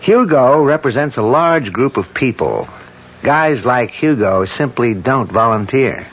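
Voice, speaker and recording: A man's voice: medium pitch, animated, a little slow. An actor playing a methodical police detective explaining his case in an old radio drama, matter-of-fact. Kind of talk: 1970s radio drama acting. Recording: dull lo-fi old radio broadcast.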